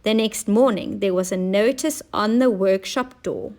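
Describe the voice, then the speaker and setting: A woman teacher narrating a children's story in an expressive, deliberate way. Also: medium pitch.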